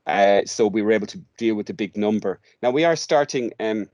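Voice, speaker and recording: A man with an Irish accent answering questions in an informal podcast interview, speaking haltingly, repeating words as he searches for the next one. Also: on the low side, a little mellow, dry recording, highs cut off. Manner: hesitant, halting delivery with repeated words and long searching pauses. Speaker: interview guest, radio drama workshop director.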